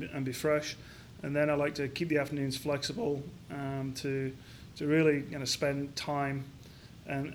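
A man in his fifties from Yorkshire, answering interview questions reflectively with frequent pauses, fillers and restarts. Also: medium pitch, a little hiss or hum. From Yorkshire, England. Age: 50s. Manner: hesitant, many restarts and fillers. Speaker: business founder guest.

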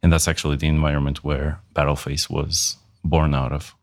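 A company CEO, born in Russia, talks about his career on a podcast. His voice is extremely low. He answers in short, plain sentences. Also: little pitch movement, brisk. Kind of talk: casual interview answers.

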